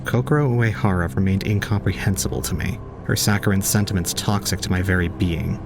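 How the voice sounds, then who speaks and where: A man's voice: low voice, fairly quick, background noise. A man playing an aloof young man, narrating an audio drama in a formal, detached, cold way. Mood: brooding, detached.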